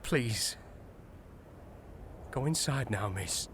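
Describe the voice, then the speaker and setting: An actor playing a deferential, cautious manservant in a period audio drama, speaking plainly and respectfully. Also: male voice, mid-range voice, animated, extremely slow, a little hiss or hum. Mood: shaken, urgent.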